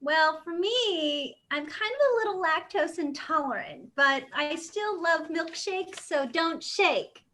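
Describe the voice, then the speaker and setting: A woman's voice: very high voice, animated, takes it slowly, few pauses, sharp tone, heavily acted. A woman voicing a ditzy female celebrity panelist in an improvised game show parody. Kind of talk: improvised comedy banter.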